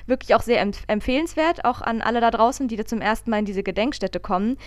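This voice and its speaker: A woman's voice: a little high, fast talker, dry recording, clean studio sound. A woman talking casually as a podcast host, telling things in a rambling, emphatic way. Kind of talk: casual conversational podcast talk.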